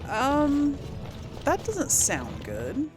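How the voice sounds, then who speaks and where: A woman's voice: high voice, wildly swooping pitch, extremely slow. A woman hosting a casual role-play let's play, reacting and thinking aloud in a hesitant way. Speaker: gaming channel host. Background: game music and sounds.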